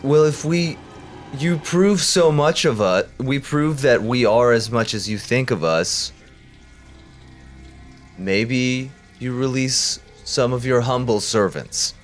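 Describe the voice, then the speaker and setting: A man's voice: mid-range voice, slow pace, soft background music. A man talking casually as a player in a tabletop game, improvising with hesitant, wry bargaining.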